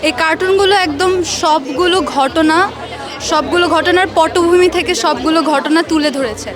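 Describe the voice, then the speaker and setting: A woman's voice: very high voice, moderate pace, crowd noise. A young woman with a Bangladeshi accent, a student visitor speaking in a vox pop interview. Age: young adult. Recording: noisy crowded public space.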